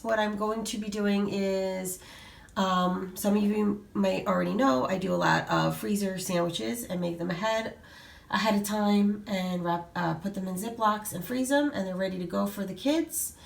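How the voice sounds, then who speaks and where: A middle-aged woman with a hoarse voice from a cold, chatting casually to viewers with lots of fillers and restarts. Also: medium pitch, unhurried. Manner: rambling, many fillers and restarts. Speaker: vlogger showing a grocery haul. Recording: echoey room, voice a bit far from mic.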